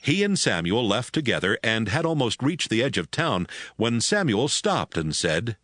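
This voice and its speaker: A man narrating scripture from a script in a measured storytelling manner. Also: mid-range voice, big pitch swings, fairly bright tone, no room sound, clean studio sound.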